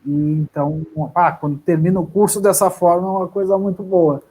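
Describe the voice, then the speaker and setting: A man's voice: high-pitched, steady tone, fairly quick, warm tone, slightly rough, low-quality recording. A man, an actor, chatting casually in a live interview about his career, often restarting his sentences. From southern Brazil. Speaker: actor guest.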